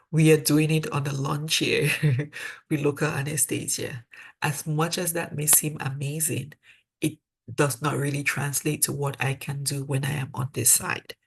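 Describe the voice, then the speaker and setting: A woman's voice: sits low, creaky, husky. A young woman from Cameroon with a Cameroonian accent, a dental student mentor giving earnest webinar advice and repeating key points for emphasis over a video call.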